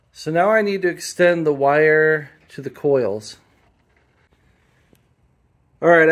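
A man with a slightly high voice talks through his motorcycle work in a home garage, plain and matter-of-fact, with ums and small restarts as he goes. He is off camera, close to a camera mic held while he works. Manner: plain, matter-of-fact, with frequent fillers and self-corrections. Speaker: motorcycle restorer filming his build. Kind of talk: hands-on workshop explanation.

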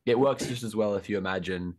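A young woman with a hoarse voice, chatting as a guest on a video call in a wry, joking way. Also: a bass voice, fast talker, darkish tone. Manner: wry, laughs at her own jokes. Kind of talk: casual chat on a video call.

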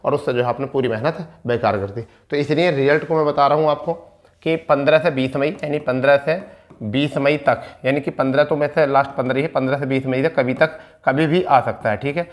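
A man's voice: medium pitch, steady tone, fairly quick. A man, explaining informally like a coaching teacher, repeating points and checking in often. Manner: repetitive, explanatory, with frequent check-in tags. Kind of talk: informal instructional explanation at a board. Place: indoor studio in front of a digital board. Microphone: clip-on lapel mic.

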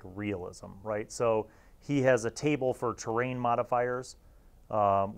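Low-voiced middle-aged man reviewing casually. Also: smooth. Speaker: video host and reviewer. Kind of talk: casual review commentary to camera.

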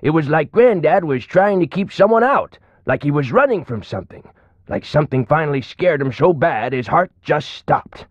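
A man's voice: a little high, animated, normal speed, dry recording, highs cut off. An actor playing a folksy, chatty old man in his nineties with an American accent, rambling through stories in a video game. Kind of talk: video game character acting.

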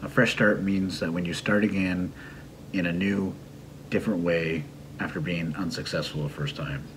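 A man's voice: low voice, fairly even intonation, moderate pace, background noise. A middle-aged man giving a casual, explanatory English idiom lesson with a teasing streak. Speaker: English teacher and video host. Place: small home room at a computer desk.

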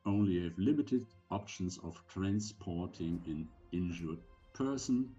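Male, around 70, deep and mellow. Instructional presenter, deliberate phrasing. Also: lo-fi sound. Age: older, around 70. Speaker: presenter giving medical advice for sailors. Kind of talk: slide presentation lecture.

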